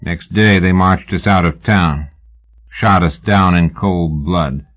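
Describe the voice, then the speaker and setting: A man reading an audiobook, voicing a menacing, weary masked Texian gunman who talks in a slow, dry, toneless drawl. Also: low voice, highs cut off. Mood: grim. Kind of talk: audiobook character voice.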